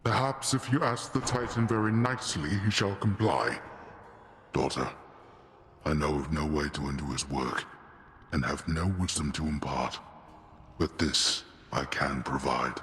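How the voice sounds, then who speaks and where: An extremely deep, forceful male voice for a grim underworld god in a game. He is stern, formal and sardonic, heard in long hall reverb with noise behind. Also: steady tone, a little slow. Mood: dry, resigned. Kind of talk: dramatic video game dialogue.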